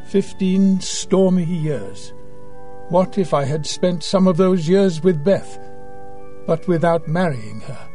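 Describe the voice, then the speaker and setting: An actor playing a gentle, wryly skeptical priest in an audio drama, speaking in a measured way. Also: male voice, high-pitched.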